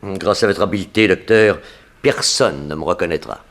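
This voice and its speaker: A man's voice: sits low, moderate pace, vocal fry, fairly bright tone. A French man acting in a 1960s radio drama as a cocky, coarse escaped criminal with a sneering, familiar manner. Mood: smooth, flattering. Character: gloating male convicted criminal, cocky and coarse, freshly escaped.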